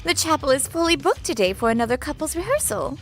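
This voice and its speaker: A woman's voice: sits high, lively intonation, fast talker. Woman acting strict company president, female, formal, reproachful authority, manga dub, background music.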